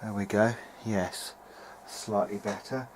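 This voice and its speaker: A man's voice: fairly low pitch, steady tone, slow. Hesitant man thinking aloud, noisy recording. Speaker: hobbyist video maker repairing vintage audio gear. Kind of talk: casual unscripted workbench commentary. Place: home workbench, speaking behind a handheld camera. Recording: clearly noisy amateur home recording.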